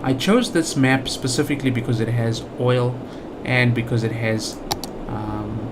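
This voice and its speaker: A man's voice: mid-range voice, measured pace, very noisy. A man with a South African accent giving casual let's play commentary, thinking aloud with lots of fillers and restarts. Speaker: gaming channel host.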